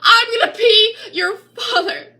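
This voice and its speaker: A woman's voice: extremely high pitch, measured pace, pushed and bright, pitched above the performer's own voice, exaggerated voice. A woman performing a bitter, anguished young mother who has lost faith, in dramatic spoken-word poetry with pleading, defiant outbursts. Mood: tearful defiance.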